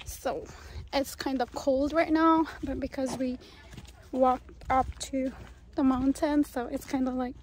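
A young Filipino woman talks to her phone camera on a wooded trail. Her voice is high and her pace is unhurried, her manner cheerful and chatty. It sounds like a casual outdoor selfie vlog. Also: fairly even intonation, darkish tone, a little hiss or hum, rough recording. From Philippines. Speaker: vlogger. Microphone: phone held at arm's length.

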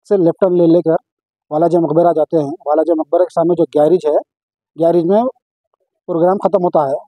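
Even, higher-pitched man. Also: no echo, rough recording. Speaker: organiser of a local religious procession. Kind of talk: on-camera statement to local news. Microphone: handheld news microphones.

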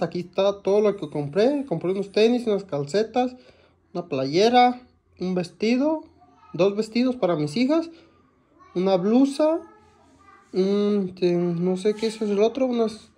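A man's voice: sits high, mellow. A young man with a Mexican accent, talking casually to camera with many fillers and restarts. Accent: Mexican Spanish. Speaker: vlogger. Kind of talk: casual vlog talk to camera.

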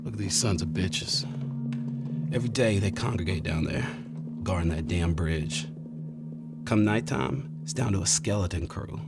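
A man's voice: deep voice, moderate pace, creaky, loud music behind the voice. A man acting a wary, protective young American survivor in video game dialogue, with a streetwise, plain-spoken manner. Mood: confident.

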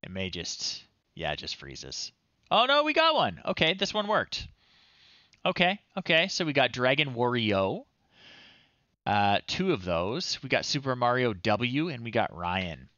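A man's voice: mid-range voice, wildly swooping pitch, unhurried, pushed and bright, dry recording, muffled recording. A man in his thirties giving casual livestream commentary, thinking aloud and muttering in short phrases as he goes. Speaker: livestream host. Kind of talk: casual livestream commentary while trying old software. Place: small room indoors at home.

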